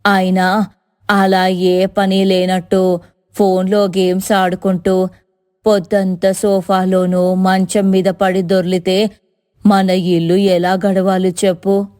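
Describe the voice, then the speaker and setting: A woman voicing a weary, pleading middle-aged village mother-in-law in an animated story, coaxing and complaining in drawn-out phrases. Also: medium pitch, pitched below the performer's own voice, close to an everyday voice. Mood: exasperated.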